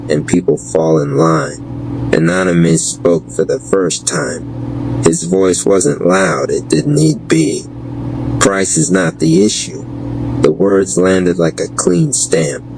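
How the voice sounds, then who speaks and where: A man with a low voice narrates a dark fantasy audio drama. His delivery is slow, measured and dramatic, like spoken word. A clearly noisy rumble runs behind him. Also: lively intonation.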